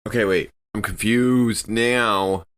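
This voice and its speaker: A man's voice: lowish voice, fairly even intonation, slow pace, a bit bright, pitched above the performer's own voice. A man playing a courteous, confused spaceship crewman in a tabletop game, hesitant and stammering. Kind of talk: tabletop role-play acting.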